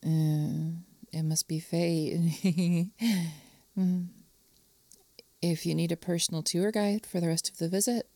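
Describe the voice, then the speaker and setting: A woman playing an affectionate, flirtatious lover in an intimate adult audio roleplay, breathy and often dropping into whispers. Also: on the low side, steady tone, warm tone, no room sound. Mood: affectionate, playful. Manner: slow, lingering, tender delivery with pet names.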